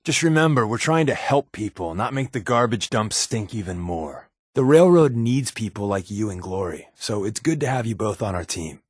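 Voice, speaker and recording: A man's voice: lowish voice, lively intonation, normal speed, hardly any pauses, slightly rough, no room sound, studio quality. A man playing an easygoing, wisecracking secret agent with hidden guilt in a video game, talking in a wry, casual, conversational way. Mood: wry, earnest. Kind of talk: video game companion dialogue.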